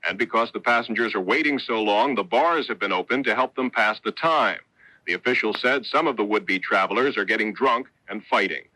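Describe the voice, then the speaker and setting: A man's voice: on the low side, fairly quick, bright, forceful tone, dry recording, low-quality recording. An American man reading a scripted 1970s network radio newscast as a reporter. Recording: old 1970s radio broadcast.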